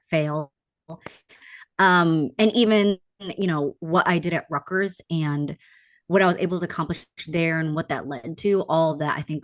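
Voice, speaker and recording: A young woman with an American accent talks as a podcast guest. Her voice is low for a woman and recorded very dry and close. She is informal and candid, peppering her sentences with conversational filler. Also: no room sound. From upstate New York, United States. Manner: informal, candid, frequent use of "like". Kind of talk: casual interview chat.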